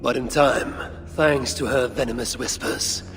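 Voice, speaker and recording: A shrewd and resolute Norse god for a fantasy game. He speaks at a middling pitch, deliberate and wry, gruff in temper, over faint ambient noise. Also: fairly even intonation, a bit bright. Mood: cold, pointed. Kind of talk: dramatic game cutscene acting.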